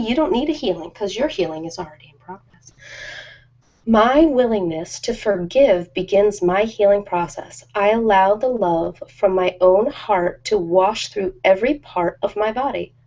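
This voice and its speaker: A woman with a mid-pitched voice hosts a casual ASMR live stream. She talks in an informal, rambling, chatty way, as if to a few viewers. The audio is dull and lo-fi, like a basic web broadcast. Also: darkish tone, slight background noise.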